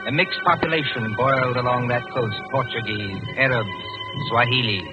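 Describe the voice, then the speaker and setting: A man narrating a radio drama in a theatrical, ominous 1940s style with deliberate phrasing. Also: mid-range voice. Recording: muffled old radio recording, loud music behind.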